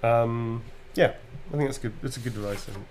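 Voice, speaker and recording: British man, casual chatty Q&A, loose rambling delivery, middle pitch, faint background hum. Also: brisk, a little mellow. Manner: chatty, loose and informal, full of ums and self-corrections. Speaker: voice actor answering fan questions. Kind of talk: casual live Q&A.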